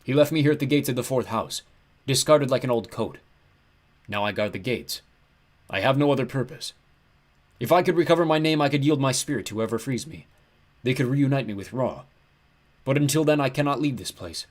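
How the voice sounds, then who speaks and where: Man voicing a booming, mournful giant ram god. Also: animated, brisk, lightly acted. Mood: forlorn. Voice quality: booming, bleating. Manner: mournful, mildly courteous while threatening. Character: melancholy giant ram-headed god, lonely ancient gatekeeper.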